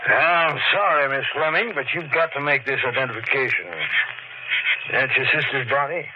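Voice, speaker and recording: An actor playing a skeptical, pushy small-town sheriff in a 1940s radio mystery, folksy and blunt. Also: male voice, mid-range voice, normal speed, loud music behind the voice, close to an everyday voice. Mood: apologetic. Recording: muffled, faintly noisy 1940s radio recording.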